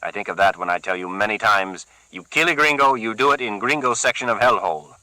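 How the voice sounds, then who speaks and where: Man voicing a low, slow-talking Puerto Rican gangster with a sharp, dry tone and broken English with a Puerto Rican Spanish accent. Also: expressive, no echo, low-quality recording. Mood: cold. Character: Puerto Rican man, brooding, menacing gang leader.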